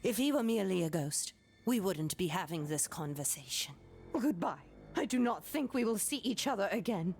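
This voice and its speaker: Cryptic game townswoman: creaky, mid-pitched, deliberate and ominous, soft music beneath. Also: expressive. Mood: cold, foreboding. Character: brusque, cryptic, eerie storytelling townswoman. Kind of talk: video game character acting.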